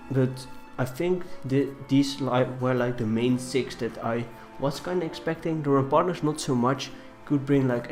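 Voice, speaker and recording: A young man with a cold, talking quietly and hesitantly as he commentates on a game, with many fillers. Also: mid-range voice. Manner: deliberately quiet, subdued delivery. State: has a cold. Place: room in a shared flat.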